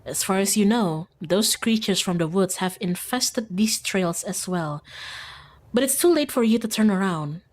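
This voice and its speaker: A woman reading scripted narration as an ominous, hushed storyteller in a horror visual novel. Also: medium pitch, expressive, measured pace.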